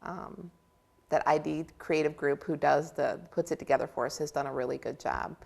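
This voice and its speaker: Gravelly low-voiced woman. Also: fairly even intonation, moderate pace, darkish tone. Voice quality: big voice. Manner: chatty, upbeat, laughs often. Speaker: guest from a county office for older adults. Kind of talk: casual interview talk.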